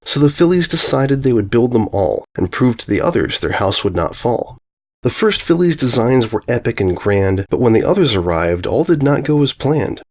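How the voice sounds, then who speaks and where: A man narrating a rhyming children's story in a measured, singsong cadence. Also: mid-range voice, darkish tone. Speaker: story reader.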